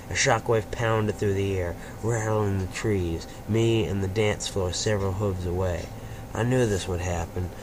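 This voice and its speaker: A man with a fairly low voice narrates first-person fan fiction. He reads it as a dramatic story, with noticeable noise behind him.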